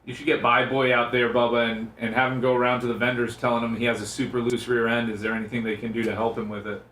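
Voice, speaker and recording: A man's voice: medium pitch, level tone, fast, a bit bright, a little hiss or hum. A male radio co-host trading casual, joking banter in a teasing, needling manner, with an echoey room sound.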